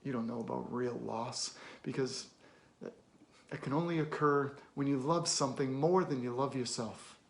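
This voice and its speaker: A man performing a dramatic film monologue as a weary, blunt middle-aged therapist, deliberate, with weighty pauses and emphatic bursts. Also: mid-range voice, vocal fry. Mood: tender, grave. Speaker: actor.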